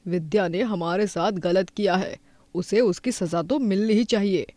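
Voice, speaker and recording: A woman's voice: medium pitch, normal speed, warm tone, dry recording, natural voice. A woman voicing a resentful, scheming middle-aged village mother in a cartoon story, speaking with vindictive emphasis. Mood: bitter, scheming.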